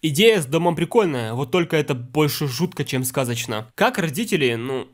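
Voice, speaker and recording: A man's voice: on the high side, lively intonation, a little fast. A man delivering sarcastic, scripted film commentary as a critic and narrator.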